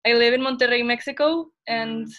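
A woman's voice: a little high, a little fast, dull audio. A teenage girl from El Salvador hosting a casual podcast chat, chatty and upbeat with many fillers. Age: teens. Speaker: podcast host.

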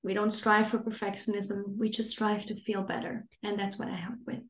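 A woman's voice: mid-range voice, little pitch movement, moderate pace, roomy sound, rough recording. A woman in her thirties, originally from Austria, speaking as a parenting coach guest on a podcast and explaining her ideas thoughtfully. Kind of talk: podcast conversation.

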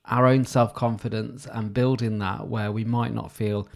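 Male podcast host, calm and sincere, lowish voice, soft-spoken monologue. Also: gravelly. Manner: soft-spoken with frequent pauses and restarts. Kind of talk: solo reflective podcast talk.

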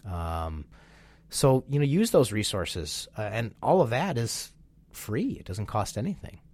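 A man co-hosting a business webinar, slightly nasal and congested from a sinus infection, talking in an animated, enthusiastic way with lots of "you know"s. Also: fairly low pitch, unhurried, dry recording. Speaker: webinar co-host. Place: Chicago.

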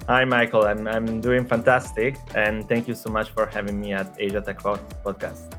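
A man's voice: lowish voice, little pitch movement, normal speed, a bit bright, soft background music. A man in his thirties from Italy with an Italian accent, talking through his career as an interview guest with many fillers and restarts. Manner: hesitant, frequent fillers and repeated words. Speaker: startup executive guest. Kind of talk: casual interview conversation.

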